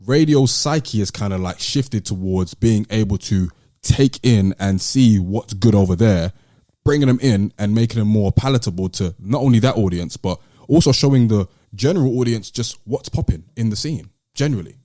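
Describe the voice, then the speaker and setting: A British man co-hosting a podcast, talking in a casual, measured and articulate way with some slang. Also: lowish voice, animated, mellow, no room sound, clean studio sound. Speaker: podcast host. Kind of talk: casual discussion and commentary.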